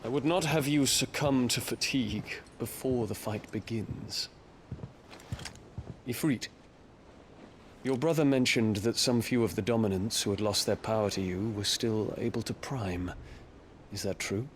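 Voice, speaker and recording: An actor playing a proud, regretful fallen knight in a dramatic video game, with grave, archaic, formal speech and ambient noise behind him. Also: male voice, fairly low pitch, a little slow, natural voice. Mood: proud resolve.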